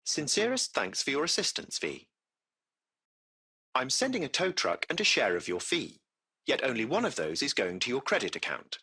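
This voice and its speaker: A man's voice: mid-range voice, huge pitch swings, moderate pace, gravelly, bright, forceful tone, breathy edge, heavily acted. A man playing a courteous, formal AI taxi-service machine in a video game, with precise, butler-like phrasing. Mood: grateful, courteous. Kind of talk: scripted video game voice acting.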